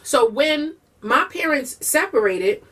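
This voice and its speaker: A woman chatting casually while eating, talking fast with a Southern US and Puerto Rican-tinged accent and slipping into bits of song. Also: high-pitched, lively intonation, a bit bright, distant mic. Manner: breaks into bursts of singing. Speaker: vlogger and mother.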